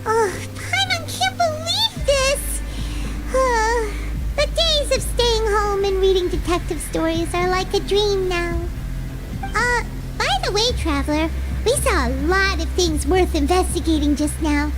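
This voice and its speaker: A woman voicing a small, excitable, chatty fairy companion in a video game, with a squeaky childlike voice and an expressive manner. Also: heavily acted, poor audio. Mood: dismayed. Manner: expressive, refers to self in third person. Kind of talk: animated video game voice acting. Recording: game audio under loud music. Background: loud game music.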